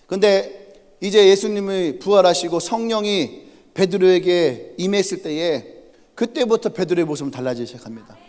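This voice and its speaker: A male pastor with a Chungcheong accent preaching a lively, humorous sermon and putting questions to the congregation. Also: sits high. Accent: Chungcheong Korean. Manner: animated, humorous storytelling, asks the congregation questions. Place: church sanctuary. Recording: reverberant church sanctuary.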